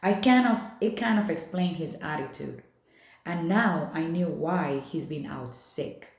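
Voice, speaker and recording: A woman narrates a storybook aloud at a very slow pace. Her voice sits a little low. The recording has a noticeable room echo. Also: darkish tone. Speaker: read-aloud reader. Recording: echoey room.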